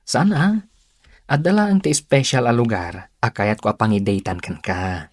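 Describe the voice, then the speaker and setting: An actor playing a doting, eager young boyfriend, sweet and attentive, in an Ilocano radio drama. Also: male voice, medium pitch, normal speed, lightly acted. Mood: tender.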